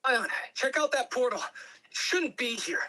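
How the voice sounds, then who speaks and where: A man's voice: very high-pitched, a little slow, bright, forceful tone, voice pushed up, full character voice. A man voicing a brash young celestial guardian in a script reading, urgent and casually heroic.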